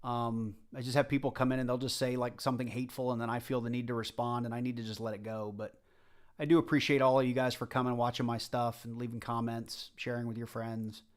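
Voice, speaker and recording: A man's voice: medium pitch, level tone, fast talker. A man with a light Southern US accent, casually chatting to his livestream viewers while hunting coins. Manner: chatty, rambling, talks to the chat. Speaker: livestream host.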